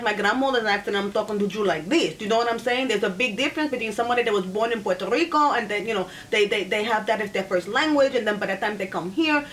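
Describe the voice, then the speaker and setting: A woman with a Bronx accent, lively and joking, talking in a documentary interview in an indoor kitchen. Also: fairly high pitch, distant mic, a little hiss or hum, poor audio.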